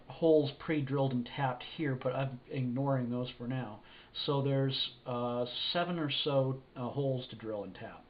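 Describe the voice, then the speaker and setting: A man with a cold, sniffly, talking through an electronics assembly at his workbench in a halting, thinking-aloud way. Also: medium pitch. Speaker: hobbyist electronics builder. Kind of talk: casual hands-on assembly walkthrough. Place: indoor workshop bench. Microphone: camera mic above workbench. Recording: dull lo-fi camera audio with faint hiss.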